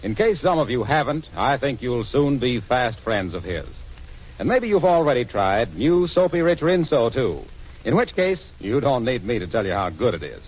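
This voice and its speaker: Lively 1940s radio announcer, man. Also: medium pitch, normal speed, husky. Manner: polished, emphatic showman's delivery. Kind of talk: 1940s radio sponsor announcing, scripted narration. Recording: dull vintage 1940s radio recording.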